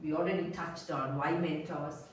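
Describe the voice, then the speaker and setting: Sri woman podcast host, welcoming, lowish pitch, very echoey distant recording, faint hiss. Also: fairly even intonation, normal speed. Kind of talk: interview hosting. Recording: roomy echo, a little distant from the mic, faint noise.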